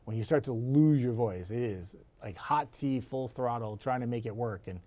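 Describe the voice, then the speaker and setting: A man with a raspy voice, a TV meteorologist chatting casually and rambling to viewers. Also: medium pitch, normal speed, warm tone. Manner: chatty, rambling, laughs easily.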